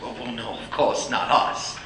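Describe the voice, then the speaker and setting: An actor playing a polite, hesitant charity collector in a live stage radio play. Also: male voice, medium pitch, a little slow, sharp tone, slight background noise. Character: polite male charity collector, genteel and hopeful. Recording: echoey stage with faint ambience.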